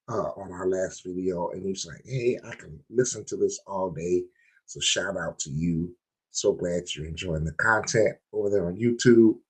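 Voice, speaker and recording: A man with a very low, hoarse and raspy voice hosts a live stream. He kicks off with loud, stretched-out hype, then drifts into relaxed, rambling talk to his viewers. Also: rough recording. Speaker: podcast host.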